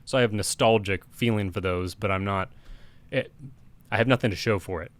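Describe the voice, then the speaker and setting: A man co-hosting a casual podcast chat, speaking in a measured, wry way as he offers advice. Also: on the low side. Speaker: podcast co-host.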